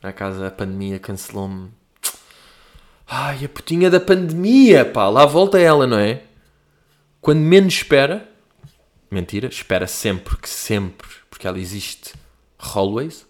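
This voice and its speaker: A man's voice: medium pitch, very animated, unhurried, pauses often, a little mellow. Rambling young Portuguese man, slangy chat. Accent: European Portuguese. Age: young adult. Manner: rambling, slangy, self-mocking. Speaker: comedian host answering listener questions. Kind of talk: casual monologue.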